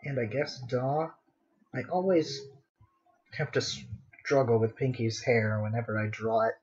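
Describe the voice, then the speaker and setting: A man's voice: mid-range voice, fairly even intonation, a little slow, lo-fi sound. A man chatting casually on a drawing livestream, rambling to himself in a playfully dramatic way. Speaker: streamer, digital artist.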